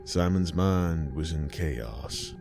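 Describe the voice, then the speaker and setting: A man narrating a horror story in a measured, ominous way. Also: a bass voice, expressive, creaky. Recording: music bed behind.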